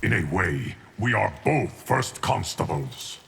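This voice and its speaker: A grave immortal guardian in a fighting game. His deep voice creaks as he delivers measured, formal lines. Clear noise sits behind him. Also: very animated, fairly bright tone, exaggerated voice. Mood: solemn, assured. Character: grave, steadfast male guardian of time. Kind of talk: scripted fighting game dialogue.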